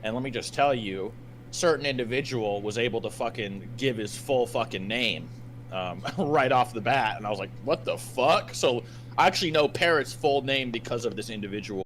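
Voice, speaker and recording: A man's voice: mid-range voice, moderate pace, a bit bright, slight background noise. A slangy, sweary man chatting casually on a recorded voice call.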